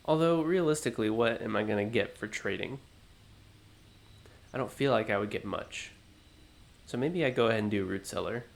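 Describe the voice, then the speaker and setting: A man hosting a let's play, thinking aloud in an unhurried, musing way, his voice low and groggy from just waking up. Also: vocal fry. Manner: musing, unhurried, self-talking. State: low morning voice, just woken up. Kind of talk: thinking-aloud gameplay commentary.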